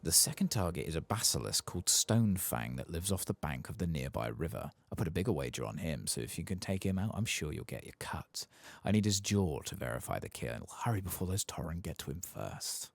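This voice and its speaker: A man voicing a sly, pushy trader from a fantasy game, softly reading out quest dialogue as brisk patter. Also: very deep voice, very animated, gravelly, full character voice. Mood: scheming.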